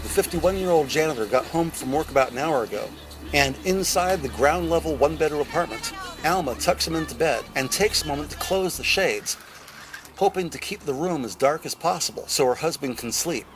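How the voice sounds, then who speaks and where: Higher-pitched male audio drama narrator. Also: expressive, brisk, over music, poor audio. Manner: detailed, descriptive storytelling.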